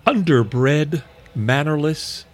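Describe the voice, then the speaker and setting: A man's voice: a little high, drawn-out words. Rambling middle-aged male co-host. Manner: rambling, stumbling restarts. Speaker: podcast co-host. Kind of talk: casual married-couple chat.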